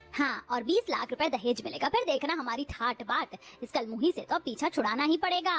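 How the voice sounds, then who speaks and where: A woman's voice: sits high, expressive, normal speed, fairly bright tone, soft background music. A woman voicing a cruel, domineering mother-in-law in a cartoon who scolds and taunts. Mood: taunting.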